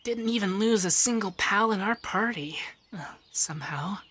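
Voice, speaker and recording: Soft-spoken flirty femboy monster companion, acted by a man. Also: high-pitched, moderate pace, breathy edge, heavily acted. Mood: upbeat, earnest. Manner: flirty, gently teasing, shyly stammering. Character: affectionate, strong, teasing male Lovander, a femboy monster companion. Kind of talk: ASMR roleplay.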